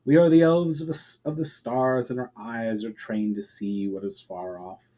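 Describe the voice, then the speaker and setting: Storybook elf guard, male, ancient man acting: mellow, middle-pitched, formal, measured, gently weary and wistful. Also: steady tone, lightly acted. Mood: calm, courteous. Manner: formal, measured, archaic phrasing. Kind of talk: dramatic storybook reading.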